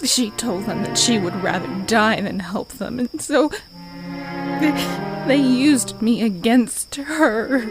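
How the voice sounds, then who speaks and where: A frightened, injured rabbit aide played by a woman in a science-fiction audio drama. The voice is high and pleading, drawn out slowly and emotionally over music. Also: poor audio. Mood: pleading, guilty.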